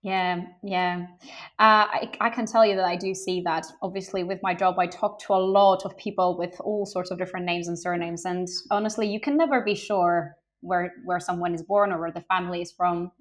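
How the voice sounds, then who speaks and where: A woman's voice: lowish voice, steady tone, normal speed. Enthusiastic young woman recruiter guest. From Bulgaria, raised in Greece. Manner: open, enthusiastic, frequent ums. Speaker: guest, game industry recruiter. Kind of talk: podcast interview answers.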